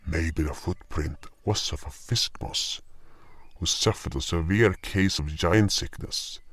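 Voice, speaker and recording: A man narrates a fantasy tale in scripted first person. His voice is low, the delivery slow and measured, recorded close and dry with little room around it. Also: fairly bright tone, dry recording.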